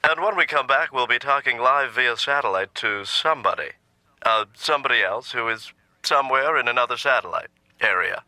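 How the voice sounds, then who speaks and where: A wry cartoon patient tells jokes at a middle pitch. His delivery is casual, with jokes that turn on the last word. The recording is dry. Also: animated, a little fast, pushed and bright, dry recording, poor audio. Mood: mock announcer. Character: comedian patient on the therapy couch.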